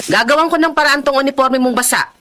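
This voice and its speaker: A woman's voice: high-pitched, fast, bright, forceful tone. An actress playing a weary working-class mother who scolds her children in a radio drama. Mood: scolding.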